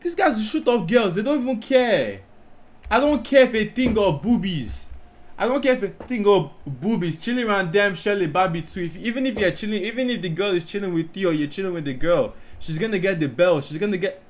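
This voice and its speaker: A man's voice: high voice, animated, fairly quick, a little hiss or hum. A young adult man hosting a reaction video, animatedly exclaiming and puzzling aloud over rap lyrics, recorded in a small bedroom. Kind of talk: excited reaction commentary. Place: small bedroom lit pink and red, indoors. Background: faint rap track playing.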